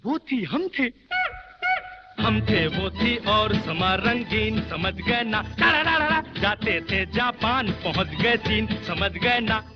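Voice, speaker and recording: A man's voice: high-pitched, expressive, slow pace. A man singing a playful old Indian film song over its musical accompaniment. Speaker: singer. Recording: dull vintage film song with loud band. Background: loud film music.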